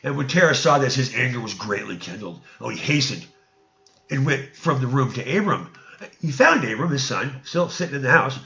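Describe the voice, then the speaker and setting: A man's voice: medium pitch, expressive, normal speed, creaky, sharp tone, reverberant. A man reading scripture aloud as theatrical narration, now and then stumbling over words, in a lo-fi recording. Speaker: reader. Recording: dull, lo-fi sound.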